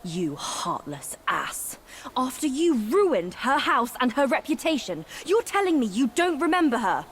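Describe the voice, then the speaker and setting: A woman voicing a scornful, sharp-tongued young spider demon in a game cutscene, cold and sarcastic. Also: high voice, very animated, measured pace, a little hiss or hum. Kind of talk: video game cutscene voice acting.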